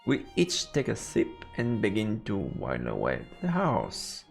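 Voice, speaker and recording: A male streamer with a gravelly, creaky voice and lively, swinging intonation. He reads game text aloud and chats informally between passages, tripping on words now and then, with music behind him. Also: on the low side, measured pace. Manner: informal, stumbling over read text. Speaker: let's play streamer. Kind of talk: live commentary, reading game text aloud.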